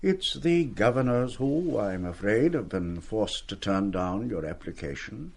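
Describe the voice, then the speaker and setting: Suave, lilting headmaster. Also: male voice, lowish voice, sing-song, a little slow, gravelly, heavily acted. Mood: apologetic, evasive. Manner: urbane, wordy, patronising. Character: suave, condescending male public school headmaster, falsely genial. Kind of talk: radio play acting.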